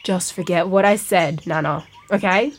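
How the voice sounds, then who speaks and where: A woman's voice: lowish voice, moderate pace, breathy edge. An actress playing an exasperated schoolgirl granddaughter in a comic audio drama. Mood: fed up. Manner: exasperated, sighing.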